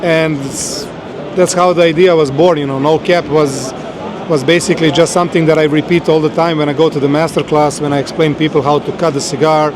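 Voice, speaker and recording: A man with a Serbian accent answers interview questions outdoors, steady and measured in English with some hesitation. His voice is a touch high and keeps a flat, even tone. Street noise runs under him. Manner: steady, measured, a little halting. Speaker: guest. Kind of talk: casual interview.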